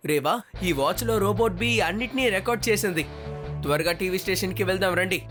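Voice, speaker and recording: A brave young boy hero from an animated cartoon, played by a voice actor. He speaks boldly and cheekily, with confidence. Music plays clearly behind him. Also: mid-range voice, fast talker, natural voice. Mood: confident, urgent. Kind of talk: Telugu cartoon dub acting.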